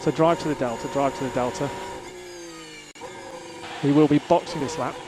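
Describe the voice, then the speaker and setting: A man's voice: medium pitch, steady tone, normal speed. A male race engineer giving short updates to his driver over team radio. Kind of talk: team radio instructions during a motor race. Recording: very noisy team radio link.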